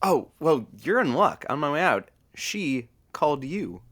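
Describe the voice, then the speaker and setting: Very deep, lively actress as radio sidekick. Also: female voice, measured pace, slightly rough, full character voice. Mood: encouraging. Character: supportive, easygoing radio show sidekick. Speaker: radio producer and announcer sidekick. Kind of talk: audio comedy drama, radio announcing and chat.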